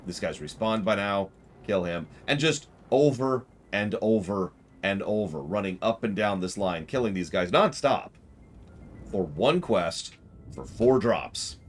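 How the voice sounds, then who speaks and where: A man's voice: on the low side, expressive, unhurried, a little hiss or hum. A man streaming a video game with casual, wry and emphatic commentary. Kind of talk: casual live gaming commentary.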